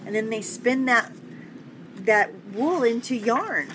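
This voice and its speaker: An older woman with a fairly high voice reads a children's story aloud. She is gentle and encouraging, slipping in chatty asides and questions for little listeners. Noticeable background noise runs behind her in the room. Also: lively intonation, takes it slowly. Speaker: children's story reader and host. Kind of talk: picture-book read-aloud with chatty asides to young children. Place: indoor home office.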